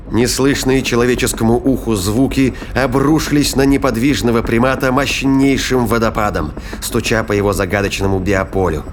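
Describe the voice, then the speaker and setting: A man giving scripted dramatic narration of a science fiction thriller in a deliberate, suspenseful manner. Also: mid-range voice, slight background noise. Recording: music behind the voice.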